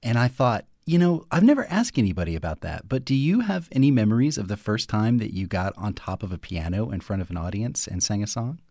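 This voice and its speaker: A man's voice: lowish voice, big pitch swings, fairly quick, hardly any pauses, a little mellow, no room sound, clean studio sound. A man hosting a radio interview, asking curious, conversational questions.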